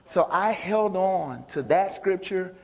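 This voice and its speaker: Man, higher-pitched voice, upbeat stage speaker, folksy banter, reverberant hall. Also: lively intonation, takes it slowly, vocal fry, a little mellow. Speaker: motivational speaker and talkbox musician. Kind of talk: live stage talk to an audience. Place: large indoor stage venue.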